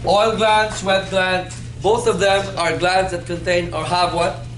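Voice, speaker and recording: A man in his fifties teaching anatomy in a classroom, playful and animated, quizzing his students as he goes. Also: high voice, a little slow. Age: 50s. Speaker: anatomy instructor. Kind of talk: classroom lecture with call-and-response. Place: classroom in front of a whiteboard. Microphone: far camera. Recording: echoey classroom with background noise.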